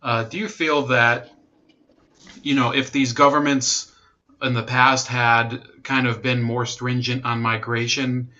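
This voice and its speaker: A man's voice: mid-range voice, level tone, a little slow, pushed and bright, lo-fi sound. A man interviewing a guest, asking long, rambling questions. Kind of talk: conversational interview.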